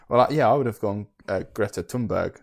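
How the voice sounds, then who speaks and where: A man's voice: on the low side, brisk, creaky. A man co-hosting a podcast, chatting casually and laughing often at himself. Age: 20s. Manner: laughs often, self-deprecating. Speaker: podcast co-host. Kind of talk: casual chat.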